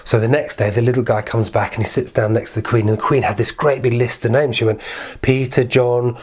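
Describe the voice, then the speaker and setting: A man's voice: mid-range voice, steady tone, brisk, a little mellow, slightly rough. A man telling a children's fairy tale in an informal, improvised, playful way, repeating words for emphasis. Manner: playful, conversational, with emphatic repetition. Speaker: children's storyteller.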